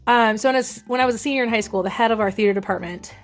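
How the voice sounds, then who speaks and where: A young woman from Minnesota with a deep voice, chatting casually and rambling with lots of ums and restarts. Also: very fast, faint music behind. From Minnesota, USA. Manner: rambling, chatty, many ums and self-corrections. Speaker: vlogger. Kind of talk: casual chat.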